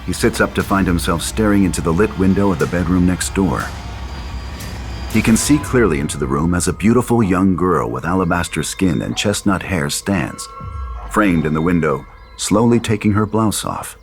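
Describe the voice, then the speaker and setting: A man with a very deep voice reads horror stage directions as a narrator. His scripted delivery sits over clearly audible music. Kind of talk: scripted screenplay narration, horror table read. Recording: loud music under the voice.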